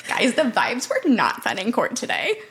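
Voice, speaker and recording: A woman's voice: a little high, wildly swooping pitch, moderate pace, fairly bright tone. A woman co-hosting a podcast with playful, slangy, exclamatory casual banter. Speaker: podcast co-host.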